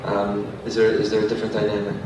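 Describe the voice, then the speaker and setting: Casting: male panel moderator, quick and monotone, distant mic in an echoey hall with an audience. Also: lowish voice, background noise.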